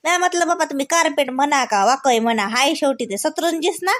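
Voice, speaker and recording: A woman's voice: high-pitched, brisk, natural voice. A woman voicing a chatty, unpolished village sister-in-law in a comic cartoon, with a Varhadi Marathi accent and a folksy, rambling, word-searching manner. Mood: mock aggrieved.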